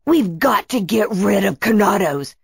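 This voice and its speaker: A woman's voice: medium pitch, expressive, a little slow, vocal fry, husky, no echo, studio quality, exaggerated voice. A woman voicing a scheming, power-hungry male Tiki villain in a children's story, mocking and boastful. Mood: plotting. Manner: sneering, boastful.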